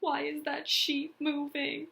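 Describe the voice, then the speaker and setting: A whiny young boy who scares easily, played by a woman reading aloud, in a bright, fairly high voice. He frets his way through a children's storybook. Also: completely flat delivery, slow pace, gravelly, exaggerated voice. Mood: spooked, whiny. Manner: wailing, whining.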